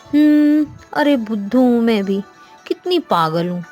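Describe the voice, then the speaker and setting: Kind-hearted young heiress, voiced by a woman: high, animated, earnest, chatty, storybook with music bed. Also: measured pace. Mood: wistful. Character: rich businessman's sweet, kind-hearted, chatty young daughter.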